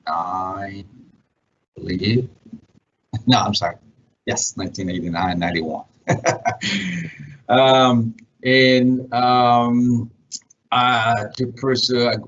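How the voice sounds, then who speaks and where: A middle-aged man from El Salvador, a parks manager on a virtual panel, speaking haltingly with many fillers. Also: low voice, a bit bright, a little hiss or hum. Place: home or office, remote call. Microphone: video call. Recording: muffled video-call audio.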